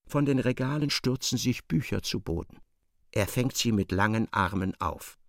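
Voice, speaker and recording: An actor narrating a literary radio play as a grave, intense storyteller with deliberate delivery. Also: male voice, fairly low pitch, creaky, dry recording, clean studio sound.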